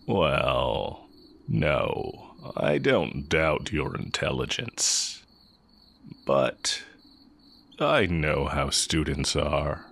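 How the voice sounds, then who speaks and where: A man playing a smug, amused evil magic teacher in a romantic audio roleplay, with a sardonic, teasing drawl and a very deep, creaky voice. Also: big pitch swings, very slow, fairly bright tone, full character voice. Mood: dry, amused. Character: evil male magic teacher, heretic, smug, amused, fond of former student.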